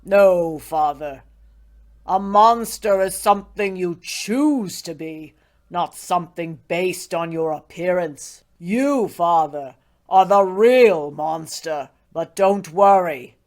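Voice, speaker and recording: Brief: woman voicing a wounded storybook creature, male, loud and reproachful, slow raised cries. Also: on the low side, voice pushed up. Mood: bitter, sorrowful. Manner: loud, pleading and accusing cries. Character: lonely, sorrowful male creature, hurt and bitter. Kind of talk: storybook character voice.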